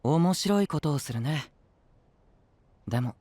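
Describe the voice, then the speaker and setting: A man playing a gentle, curious, polite young knight in an anime voice drama, speaking softly with calm teasing. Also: fairly high pitch, slow pace, no room sound. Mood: calm, probing.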